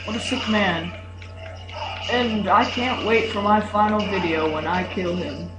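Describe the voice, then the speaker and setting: A man's voice: sits high, measured pace. A teenage boy chatting casually as he commentates on a game he is playing. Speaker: gamer recording a playthrough. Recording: echoey room with loud background music.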